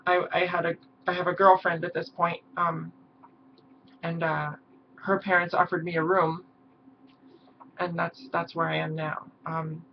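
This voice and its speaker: A hoarse young woman talking haltingly in a personal vlog, with many pauses and ums. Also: lowish voice, little pitch movement. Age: young adult. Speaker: vlogger. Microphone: camera mic. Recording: dull lo-fi mic with faint hiss.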